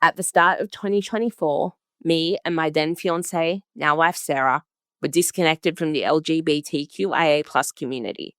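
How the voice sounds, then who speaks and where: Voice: medium pitch, moderate pace, a bit bright, no echo. Documentary narrator, very dry sound. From Australia. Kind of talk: scripted first-person narration.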